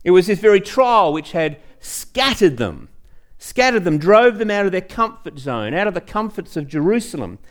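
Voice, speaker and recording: Animated high-voiced man preaching. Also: normal speed. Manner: conversational, uses rhetorical questions and repeats phrases for emphasis. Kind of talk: sermon with Bible teaching.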